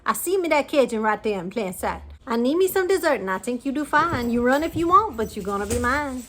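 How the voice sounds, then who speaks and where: A woman voicing a booming, mean male giant in a rhyming storybook read-aloud. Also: lively intonation, a little fast, fairly bright tone. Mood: bossy. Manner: rhyming storybook voice, growling demands.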